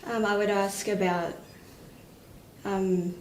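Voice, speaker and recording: A woman's voice: medium pitch, flat delivery, slow pace, a little mellow. A woman speaking tentatively and politely as an exam candidate, examining a patient and presenting clinical findings. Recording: echoey, distant room recording with noise.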